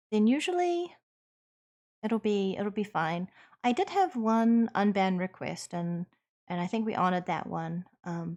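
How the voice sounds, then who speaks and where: A woman with a New Zealand accent, streaming and chatting with viewers in an earnest, reassuring way, pausing with ums as she thinks. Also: medium pitch, darkish tone. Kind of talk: casual chat answering viewers.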